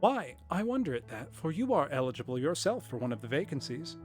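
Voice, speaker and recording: A man's voice: fairly high pitch, sing-song, a little fast, soft background music. A man doing an eager young shop assistant. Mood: coaxing.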